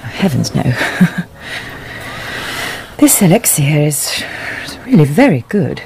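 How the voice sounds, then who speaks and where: An actress playing a snobbish, fluttery society wife in a period radio drama, with a gushing, theatrical, breathy delivery. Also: female voice, low voice, very animated, slow pace, a little hiss or hum, heavily acted. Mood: coy, breathy. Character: fluttery, snobbish society wife, doting mother, cold stepmother.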